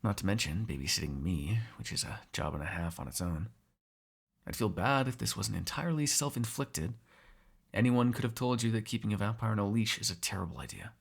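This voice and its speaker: A man voicing a teasing, sarcastic vampire with a gravelly, husky voice in an ASMR roleplay. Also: lowish voice, fairly quick. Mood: quiet, musing. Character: snarky, teasing male vampire captive, predatory yet fond. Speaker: voice actor in audio roleplay.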